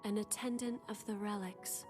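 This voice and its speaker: An actress playing a devoted young female attendant in a video game, calm, formal and measured. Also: mid-range voice, lightly acted. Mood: gentle devotion. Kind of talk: dramatic video game voice acting. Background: game soundtrack music.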